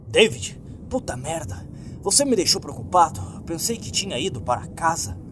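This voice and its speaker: A man's voice: a little high, big pitch swings, normal speed, noisy background, voice pushed up. A man playing a foul-mouthed, jittery young male friend in a horror story, agitated and slangy. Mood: alarmed, relieved. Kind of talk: creepypasta character dialogue.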